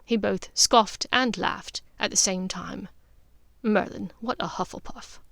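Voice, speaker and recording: A woman reading scripted fiction as an audiobook narrator, in an expressive storytelling manner. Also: mid-range voice, takes it slowly, vocal fry, dry recording, clean studio sound.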